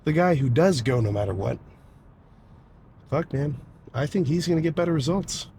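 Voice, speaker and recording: A young man with a stuffy, congested voice, talking casually to camera about training, swearing freely and clearing his throat often. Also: on the high side, lively intonation, moderate pace, warm tone, a little hiss or hum. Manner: laid-back, rambling, swears freely, frequent throat clearing. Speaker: bodybuilding vlogger. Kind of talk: casual vlog talk to camera.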